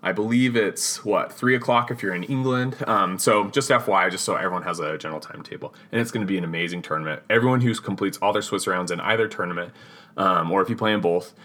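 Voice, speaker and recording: A man with a very low voice that still sounds bright and forceful. He hosts a gaming podcast and runs through announcements in a chatty, rambling way, correcting himself as he goes. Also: fast talker. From Utah. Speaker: podcast host. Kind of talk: casual announcements.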